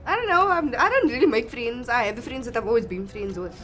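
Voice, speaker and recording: A woman's voice: a little high, animated, fast, a bit bright. A young woman with a Cape Town South African accent, speaking bluntly and informally in a casual group chat. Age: young adult.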